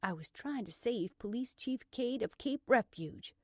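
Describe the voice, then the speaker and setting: A woman's voice: on the high side, expressive, measured pace, dry recording, studio quality, voice pushed up. Woman acting a stubborn, indignant woman under arrest. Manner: forceful, argumentative, emphatic delivery.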